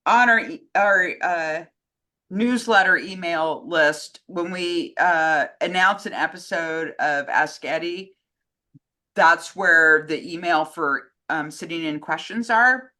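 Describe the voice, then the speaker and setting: Bright-voiced woman in casual chat. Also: fairly low pitch. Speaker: co-host, communications director fielding questions.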